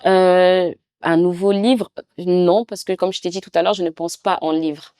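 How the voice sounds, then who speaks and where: A young woman from Cameroon with a Cameroonian French accent, speaking as a guest author in a reflective, halting way. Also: fairly low pitch, steady tone, clean tone, no room sound, clean studio sound. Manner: reflective, soft-spoken, halting. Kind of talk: intimate podcast conversation.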